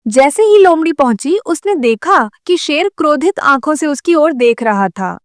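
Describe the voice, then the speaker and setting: A woman's voice: high-pitched, expressive, moderate pace, no room sound, studio quality. A woman narrating a scripted children's cartoon story.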